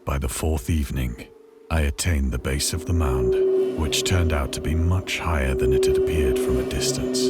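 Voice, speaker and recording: A man playing a haunted castaway, narrating a horror tale in a measured, solemn, literary manner for a game. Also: extremely low pitch. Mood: solemn, weary. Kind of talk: scripted dramatic narration of a horror story. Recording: loud music under the voice. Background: loud music.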